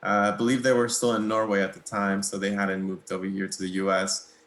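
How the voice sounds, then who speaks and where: A young man from Mexico whose first language is Spanish, answering interview questions in a relaxed, hesitant way with many pauses and small laughs. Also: fairly low pitch, roomy sound. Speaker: guitarist, interview guest.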